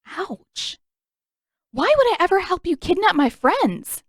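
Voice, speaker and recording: A woman's voice: extremely high pitch, sing-song, slow, no room sound, clean studio sound, pitched above the performer's own voice, heavily acted. A woman voicing a hot-tempered teenage girl who is defiant and sarcastic, in an audio fan fiction story. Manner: sarcastic, indignant.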